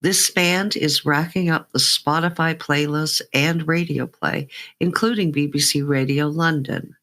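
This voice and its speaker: A woman's voice: deep voice, fairly even intonation, measured pace, fairly bright tone. A woman hosting a music show, chatting enthusiastically as she interviews guests. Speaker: radio show host and indie music reviewer.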